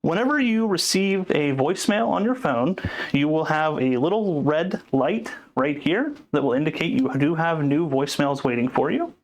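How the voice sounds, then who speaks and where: A man's voice: on the high side, expressive, normal speed. A man presenting an informal instructional walkthrough in a plain, explanatory manner. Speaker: support tutorial presenter.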